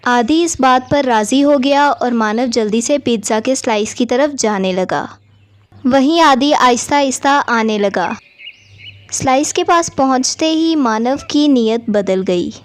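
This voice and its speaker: High-pitched woman narrating children's story. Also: measured pace.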